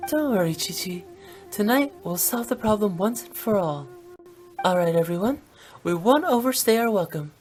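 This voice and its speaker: A woman's voice: medium pitch, lively intonation, normal speed, darkish tone, soft background music, lightly acted. A woman voicing a playful young funeral director with a cheeky, bubbly, teasing manner, in a video game.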